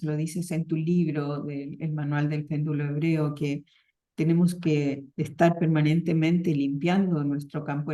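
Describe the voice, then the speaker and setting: A middle-aged woman hosts an interview and speaks in a low, mellow voice. She often agrees with murmurs and backs up mid-sentence to find the right word. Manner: frequent affirming murmurs, searching restarts. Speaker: interviewer, psychologist. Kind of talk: conversational interview.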